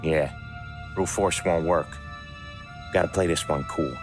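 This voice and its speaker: An actor playing a cool, pragmatic American mobster in a game cutscene, measured and deadpan. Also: male voice, sits low. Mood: calm, scheming. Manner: measured, deadpan, matter-of-fact. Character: cool, pragmatic, wry veteran mobster man. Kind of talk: video game cutscene acting. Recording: loud music behind the voice.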